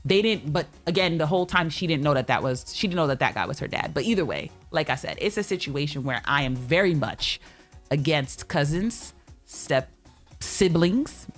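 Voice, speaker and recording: Female co-host, slightly low register, emphatic and opinionated casual debate, light music underneath. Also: lively intonation, normal speed. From Guyana or of Guyanese family. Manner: emphatic, opinionated, repeats points firmly. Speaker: talk show co-host. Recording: music playing behind the talk.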